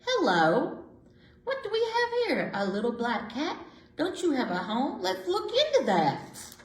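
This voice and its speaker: Kindly elderly storybook woman female reader acting, gentle, content, highly melodic delivery, roomy sound. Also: huge pitch swings, unhurried, exaggerated voice. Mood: curious, welcoming. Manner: gentle, reassuring, reminiscing. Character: kindly elderly woman, lonely yet content, comforting a cat. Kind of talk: rhyming picture-book storytelling. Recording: roomy, echoey indoor sound.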